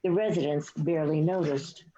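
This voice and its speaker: A woman's voice: sits low, steady tone, moderate pace, dark tone. A woman reading scripted stage directions as the narrator of a play reading. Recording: dull, lo-fi online recording.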